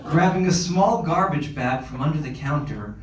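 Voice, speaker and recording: A man's voice: a little high, animated, normal speed. A man narrating stage directions from a script at a live comedy table read. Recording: echoey room, distant mic, noisy.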